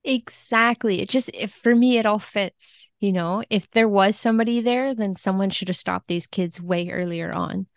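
A woman's voice: medium pitch, normal speed, hardly any pauses, no echo, clean studio sound. A woman speaking as a podcast guest in a casual interview, enthusiastic and informal about her work as a literary translator.

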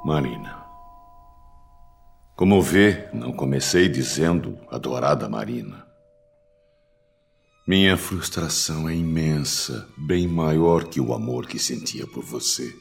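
An actor playing a bitter, deranged spurned lover in a radio drama, speaking slowly with grave reproach in a gravelly voice. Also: male voice, a bass voice, expressive, soft background music, exaggerated voice. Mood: bitter, menacing. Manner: slow, grave, reproachful delivery.

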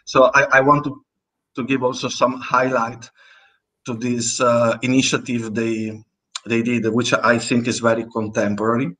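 An Italian man speaks English with a clear Italian accent, his voice sitting at an ordinary middle pitch. He chats casually as a guest artist. He talks hesitantly, pausing on fillers and restarting his sentences as he goes. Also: flat delivery, pushed and bright. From Italy. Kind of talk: casual interview chat.

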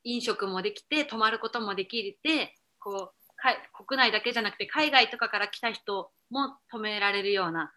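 A woman's voice: mid-range voice, moderate pace, few pauses, bright, forceful tone. A woman co-hosting a podcast, chatting casually as if with a friend. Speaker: podcast co-host. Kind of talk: casual chat between friends. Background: background music.

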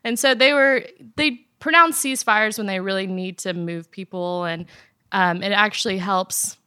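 A young woman from Afghanistan, guest in an interview, telling her life story in an earnest, steady way. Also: medium pitch, normal speed, clean tone, dry recording, clean studio sound. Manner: earnest, steady, soft laughs. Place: apartment in Nashville.

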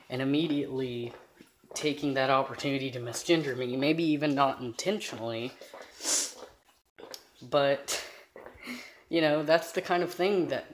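A man with a high voice giving an earnest, rambling personal monologue to camera, trailing off into fillers as he thinks aloud. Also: a little hiss or hum, rough recording. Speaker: vlogger.

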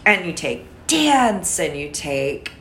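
Woman, 30s, raspy low alto, loose chatty storyteller on a podcast. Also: sing-song, slow, a bit bright, slight background noise. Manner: rambling, many fillers and restarts. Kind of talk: casual podcast conversation.

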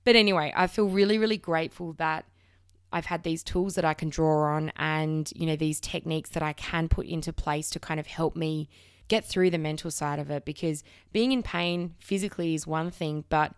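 Frank, low-voiced woman podcaster. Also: steady tone, normal speed, clean tone, no room sound, clean studio sound. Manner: frank and open, swears casually, frequent restarts. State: has a slight cold. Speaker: podcast host. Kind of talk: candid solo podcast chat.

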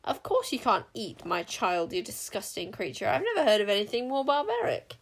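A woman's voice: mid-range voice, close to an everyday voice. Woman playing a haughty, imperious storybook queen. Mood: scornful. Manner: brisk, haughty, commanding. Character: proud, imperious warrior queen, stiff and scornful.

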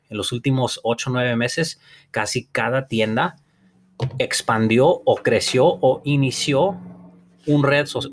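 A man's voice: medium pitch, slight background noise. A man hosting a podcast, giving an earnest, encouraging motivational talk in a language that is not his first, often restarting and restating his points. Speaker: podcast host.

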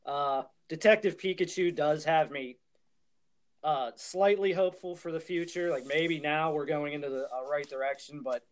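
Podcast co-host, man, voice a bit high, relaxed conversational phrasing. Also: normal speed. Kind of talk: casual podcast discussion.

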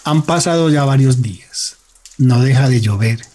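A man's voice: mid-range voice, moderate pace, darkish tone, a little hiss or hum. A man delivering dramatic scripted narration as the narrator of a radio serial.

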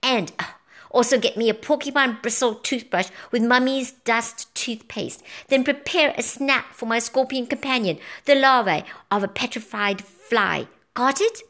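Storybook witch queen, female reader in character: tyrannical, cackling, high shrill commands, wicked and domineering. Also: unhurried, a bit bright. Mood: menacing, demanding. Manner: shrieking, commanding.

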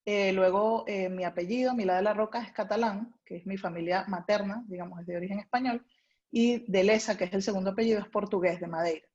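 A woman's voice: medium pitch, brisk, darkish tone, lo-fi sound. A young adult woman from Venezuela with a Venezuelan Spanish accent, chatting as a guest in an interview about her life. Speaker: guest, food writer. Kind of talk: casual interview chat.

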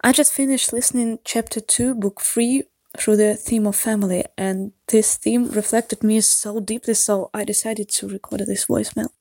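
Woman, Russian accent, non-native speaker, careful earnest voicemail, mid pitch, dry. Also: fairly even intonation, normal speed, vocal fry, dark tone, no echo. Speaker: voicemail caller. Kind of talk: recorded voicemail message.

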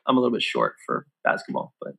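A middle-aged man from Brazil, a guest on a casual podcast, speaking in an earnest, deliberate way about his music blog. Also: sharp tone. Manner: earnest, deliberate, acts out quotes in a raised voice.

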